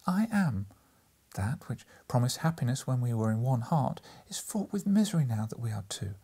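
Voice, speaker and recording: A man voicing a gentle, sorrowful young woman in a storybook, speaking softly and earnestly. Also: mid-range voice, sing-song, moderate pace. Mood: grieving reproach. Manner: soft, earnest, breathy. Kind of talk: dramatic audiobook character reading.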